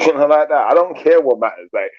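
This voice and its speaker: A man's voice: on the high side, lively intonation, fast talker, no echo, muffled recording. A man from England, talking loosely in a casual group chat and filling his speech with "like" and "you know". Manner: laid-back, rambling, says "like" and "you know" a lot. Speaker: panel guest.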